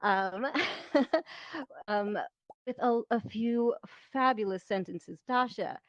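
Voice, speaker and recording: A woman's voice: a little high, slow, no room sound. A woman leading a panel, introducing it informally in an eager, welcoming manner over a lo-fi online voice chat. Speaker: team leader hosting a panel.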